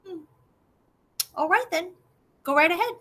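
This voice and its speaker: A doubtful king, hesitant and stammering, sizing up a small boy in a children's story, as a woman puts him on. He speaks softly, trailing off in halting starts. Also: very high voice, lively intonation, voice pushed up, full character voice.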